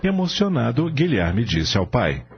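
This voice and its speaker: A man narrating a scripted radio drama as an expressive storyteller. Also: medium pitch, measured pace, husky. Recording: dull lo-fi audio, faint music.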